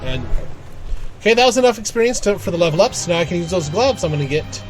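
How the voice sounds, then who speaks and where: A man giving casual live game commentary, thinking aloud in halting fragments as he plays. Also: high-pitched, expressive, soft background music. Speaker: game streamer.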